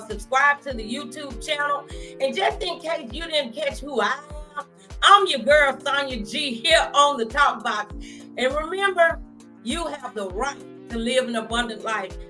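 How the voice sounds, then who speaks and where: A middle-aged woman with a bright, high-set voice hosts a faith-based talk show. She speaks candidly and with animation in a casual, conversational way. Faint music plays behind her. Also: normal speed. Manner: animated, candid, acts out quoted remarks.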